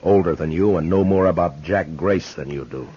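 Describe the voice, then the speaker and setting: A man's voice: deep voice, moderate pace, dark tone, slightly rough. An American man playing a stern, protective frontier marshal, terse and plain-spoken, in 1950s radio western style. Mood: exasperated. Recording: dull, noisy 1950s radio recording.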